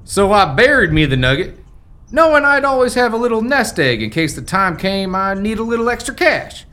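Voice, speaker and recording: An actor playing a folksy, forgetful old American rancher's ghost in a family radio play, with rustic old-timer phrasing. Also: male voice, sits high, big pitch swings, moderate pace, sharp tone, exaggerated voice. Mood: proud, reminiscing. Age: older.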